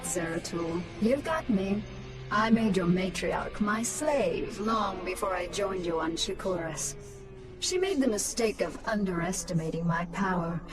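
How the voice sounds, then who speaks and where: A woman's voice: mid-range voice, far from the mic, natural voice, low-quality recording. A woman playing a cruel, smug alien queen in a video game, taunting and commanding. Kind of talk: dramatic video game acting.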